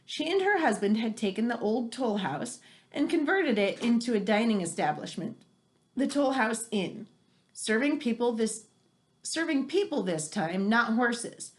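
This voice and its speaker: A woman reads aloud for children in a fairly high voice with lots of melodic movement. She is animated and chatty, slipping from the text into casual, exclamatory asides. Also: unhurried. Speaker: reader reading a nonfiction book aloud for children.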